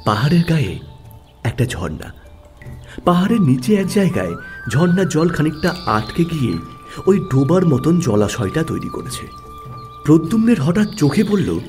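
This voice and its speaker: A man tells a literary story in a mellow, slightly high voice. His narration is dramatic and measured, with wide swings of intonation and well-placed pauses.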